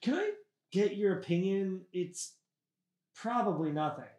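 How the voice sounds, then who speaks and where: A man's voice: sits high, animated, a little slow, darkish tone, reverberant. A man playing an earnest, sheepish patient in a comedy sketch, speaking with mock seriousness. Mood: earnest, grave. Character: earnest, sheepish male patient making an absurd confession.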